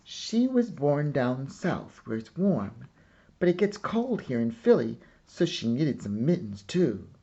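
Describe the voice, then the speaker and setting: Man voicing a proud little girl, slow and earnest. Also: mid-range voice, big pitch swings, warm tone, husky, lo-fi sound, voice pushed up, exaggerated voice. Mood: proudly explaining. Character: proud, eager little girl playing museum guide.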